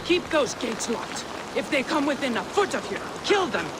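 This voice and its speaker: An actress playing a haughty, threatening trader in a video game, with a scornful, sharp-tongued manner. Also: female voice, sits high, sing-song, normal speed, heavy background noise. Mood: angry, threatening. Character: haughty, threatening female trader serving a king.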